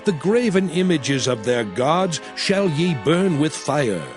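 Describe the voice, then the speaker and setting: A man's voice: a little high, sing-song, over music. A man playing a solemn, commanding Hebrew prophet, preaching God's law in a grave, declamatory dramatized scripture reading. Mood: stern, commanding. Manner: grave, declamatory, deliberate phrasing.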